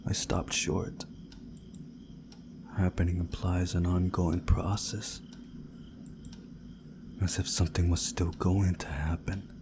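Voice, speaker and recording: A man reading a visual novel story aloud in a measured, dramatic storytelling way, hushed at times. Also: low voice, level tone, noisy background. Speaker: video game playthrough narrator.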